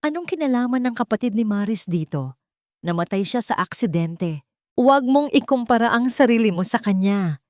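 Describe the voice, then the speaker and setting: A proud, irritated husband as a woman storyteller puts him on in a romantic audio drama. He is defensive and dismissive, scolding from a provider's high ground. The pitch often lifts, and the sound is dry. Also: expressive, normal speed, a little mellow, dry recording, natural voice. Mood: angry, scornful.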